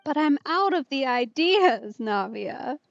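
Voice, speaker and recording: A woman's voice: high voice, animated, takes it slowly, vocal fry, no room sound, lo-fi sound. A woman doing casual let's play commentary, with a wry, laid-back manner. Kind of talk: casual gameplay commentary, reading player dialogue.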